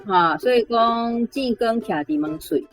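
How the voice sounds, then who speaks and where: A woman's voice: fairly high pitch, animated, unhurried, soft background music. A woman teacher with a Taiwanese accent explaining word usage conversationally, often checking with tag questions. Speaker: language teacher.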